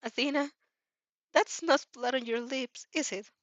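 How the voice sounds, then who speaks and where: A woman puts on an anxious teenage boy for a fan audio drama. He sounds caring, hesitant and worried, pitched high over a dry recording. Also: a little slow, a bit bright, dry recording, lo-fi sound. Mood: suspicious.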